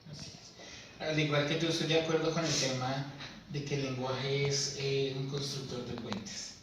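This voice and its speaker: A man moderating an academic panel discussion, speaking in a measured way with some hesitant restarts. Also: mid-range voice, level tone, background noise. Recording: echoey room, far from the mic.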